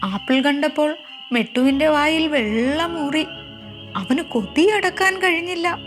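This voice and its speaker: A woman storyteller narrating a scripted moral tale for children, expressive and carefully enunciated. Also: high voice, unhurried, faint music behind.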